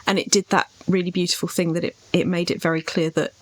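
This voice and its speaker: A woman's voice: lowish voice, flat delivery, fast. A woman in a casual podcast discussion, talking in an enthusiastic and playful way. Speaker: podcast host.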